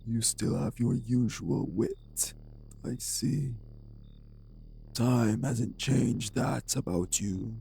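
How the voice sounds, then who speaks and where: A man playing a refined, bitter, possessive vampire sire in an audio drama, with formal, deliberate, menacing diction. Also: mid-range voice, vocal fry, warm tone, soft background music, heavily acted. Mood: cold, controlled. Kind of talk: dramatic audio roleplay acting.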